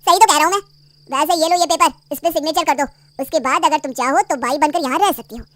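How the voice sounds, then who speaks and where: A woman voicing a cold, scornful young husband who scolds his wife loudly in a storybook tale. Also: very high-pitched, fast talker, no echo. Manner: scolding, loud and demanding.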